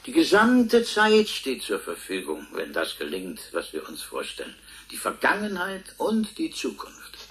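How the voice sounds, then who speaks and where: An actor playing a scientist in a radio drama, lecturing in measured phrases with emphatic pauses. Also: male voice, medium pitch, wildly swooping pitch, slight background noise. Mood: grand, emphatic. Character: male scientist expounding time travel to an audience.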